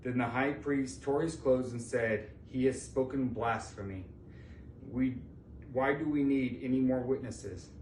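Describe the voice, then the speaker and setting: A man's voice: medium pitch, unhurried, darkish tone. A man giving a solemn scripture reading as a church member at a service, recorded in a church. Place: large indoor church, distant mic. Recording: echoey church from far off, faint noise.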